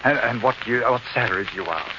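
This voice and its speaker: A noisy, dull old radio recording carries a man acting as a portly country gentleman. He is jovial and oily, gushing and coaxing with stammered repetitions. The delivery is theatrical 1940s radio drama. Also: medium pitch, fast talker, natural voice. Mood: effusive. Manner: effusive, wheedling, with stammered repetitions. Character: stout, jovial, oily middle-aged English country gentleman.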